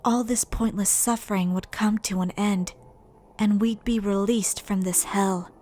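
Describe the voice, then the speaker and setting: Slow talking solemn ghost schoolgirl done by a woman, composed and formal, like an anime game dub. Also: medium pitch, steady tone, dark tone, natural voice. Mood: grave. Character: solemn dead high school paranormalist girl ghost.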